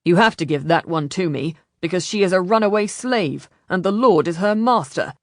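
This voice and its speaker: A stern, businesslike commander of a galley, played by a woman narrator, speaks in flat, curt orders. The voice is slightly low and forceful in intent, recorded close and dry for a storybook. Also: normal speed, no room sound. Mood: flat, commanding.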